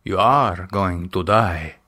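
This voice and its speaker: A man voicing a polite, formal male doctor in an audiobook, courteous and quietly menacing. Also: deep voice, slow pace, pitched below the performer's own voice, exaggerated voice. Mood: smoothly menacing. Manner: polite, formal, hesitant smoothness.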